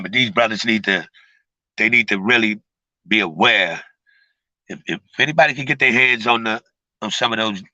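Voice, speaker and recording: Raspy guy with a cold, kinda low but real bright and sharp, dry close sound, rambles in slang. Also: no echo, poor audio. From Chicago. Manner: rambling, repeats words, street slang. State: has a cold. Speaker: streamer giving advice. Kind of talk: casual livestream talk.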